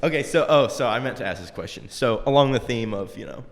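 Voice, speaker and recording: A man in his twenties hosting a podcast, relaxed and quick to laugh, asking questions into a handheld mic. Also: mid-range voice, long reverb tail. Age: 20s. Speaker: podcast host. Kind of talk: casual interview chat. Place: room with dark backdrop, seated in a folding chair.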